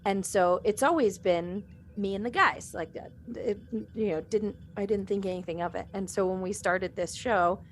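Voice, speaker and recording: A woman hosts a relaxed group chat in an informal, slightly hesitant voice. Her pitch sits in the middle range for a woman. Some room noise runs behind her. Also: moderate pace, few pauses. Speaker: podcast cast member moderating fan questions.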